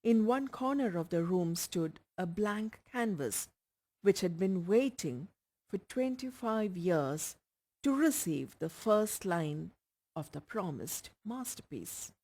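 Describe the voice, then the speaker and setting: A woman's voice: mid-range voice, expressive, slow, mellow. A woman with an Indian accent reading a short story aloud as scripted narration. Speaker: audiobook reader.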